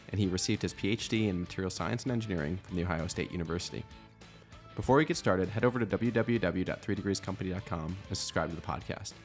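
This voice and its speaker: Fast deep-voiced man, guitar music behind. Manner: brisk, announcer-like delivery. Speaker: podcast host. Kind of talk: scripted intro narration.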